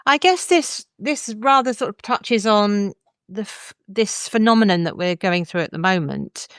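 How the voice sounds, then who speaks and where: A woman's voice: medium pitch, lively intonation, unhurried, clean tone, no echo, studio quality. A woman hosting a podcast, discussing books in an articulate, explanatory way. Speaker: podcast host. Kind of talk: thoughtful podcast book discussion.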